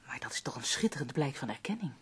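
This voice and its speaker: A breathy, husky female voice plays a nineteenth-century lady visitor in a radio drama. She is formal and measured, loyal and sympathetic in her manner. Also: rumbling low, very animated, full character voice. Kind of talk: Dutch radio play acting.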